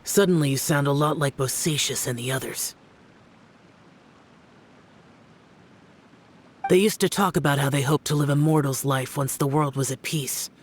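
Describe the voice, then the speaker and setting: An actor playing a solemn, youthful immortal guardian in a video game, grave, restrained and measured. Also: male voice, on the high side, steady tone, a little hiss or hum, close to an everyday voice. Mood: solemn, reflective. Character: ancient youthful male yaksha guardian, solemn, reserved, burdened.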